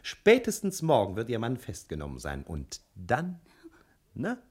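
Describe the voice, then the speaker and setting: Suave detective, low husky voice, ingratiating, theatrically emphatic, 1960s radio crime play. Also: male voice, wildly swooping pitch, unhurried, exaggerated voice. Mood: insinuating, wooing. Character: suave scheming male detective courting a client's wife. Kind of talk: 1960s German radio crime drama acting.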